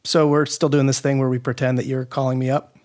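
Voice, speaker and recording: A man speaking as a guest scriptwriter, explaining casually in an informal, matter-of-fact way with gentle teasing. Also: medium pitch, level tone, quick pace.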